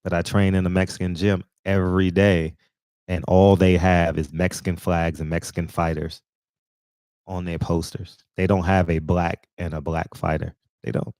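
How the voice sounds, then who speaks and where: Deep-voiced man rambling in a dry room. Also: flat delivery, normal speed, darkish tone, dry recording. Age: 40s. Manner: rambling, with many fillers and restarts. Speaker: channel host. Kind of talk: casual commentary monologue.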